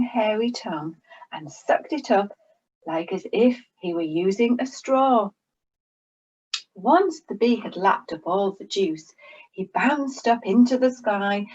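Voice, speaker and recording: A woman reads a children's picture book aloud. She takes her time, letting her pitch rise and fall expressively, and her voice is a little breathy. The recording sounds somewhat dull. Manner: slow, expressive storytelling. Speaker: storyteller. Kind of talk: reading a children's story aloud.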